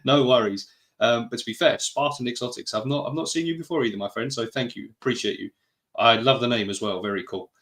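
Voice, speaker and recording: A man's voice: mid-range voice, quick pace, fairly bright tone. Chatty British man hosting a live stream. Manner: chatty, greets viewers, rambling asides. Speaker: podcast host. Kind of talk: casual live stream chat.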